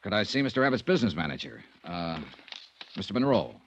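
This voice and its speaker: An actor playing a wry, persistent insurance investigator in a 1950s American radio drama, with a clipped, conversational manner. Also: male voice, sits low, very animated, quick pace, a little hiss or hum. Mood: probing. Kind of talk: 1950s radio detective drama acting and narration. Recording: dull vintage 1950s radio recording.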